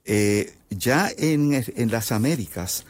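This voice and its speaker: A Puerto Rican man, a composer, explaining his music in an interview, halting often with fillers and restarts. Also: mid-range voice, animated, no room sound. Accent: Puerto Rican Spanish. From Puerto Rico. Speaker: composer guest. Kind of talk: interview answers.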